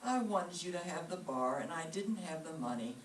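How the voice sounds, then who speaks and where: A woman's voice: sits low, animated, moderate pace. A woman playing a long-suffering, affectionate but scolding wife in old-time radio comedy style, with dry, patient reproach. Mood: tender. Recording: roomy, distant mic with background noise.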